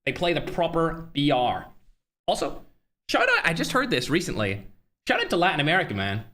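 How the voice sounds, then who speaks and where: A man's voice: a little high, wildly swooping pitch, sharp tone. A man hosting a live stream, chatting casually and brashly straight to his viewers with frequent asides. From Northern Ireland. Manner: brash, fast-flowing, talks straight to chat, trash-talking. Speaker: livestream host and tournament organizer.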